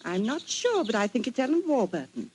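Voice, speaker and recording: A woman playing a doctor's fond, gently teasing, genteel wife in an old radio drama. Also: fairly high pitch, sing-song, brisk. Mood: excited. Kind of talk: 1940s radio acting. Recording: noisy old radio recording.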